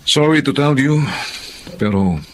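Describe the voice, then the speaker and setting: An actor playing a frank, easygoing older brother with a Filipino accent in a Tagalog radio drama, chatty and gently chiding. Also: male voice, medium pitch, animated, measured pace, slight background noise, lightly acted.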